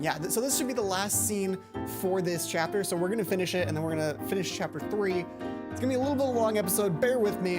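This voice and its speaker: A woman hosting a let's play, mixing casual, sweary commentary with reading a visual novel's narration aloud. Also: fairly low pitch.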